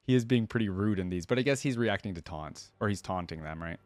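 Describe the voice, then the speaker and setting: A man's voice: sits low, expressive, fast talker, darkish tone. A man hosting a reaction video, giving casual, off-the-cuff commentary. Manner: reactive, laughs often.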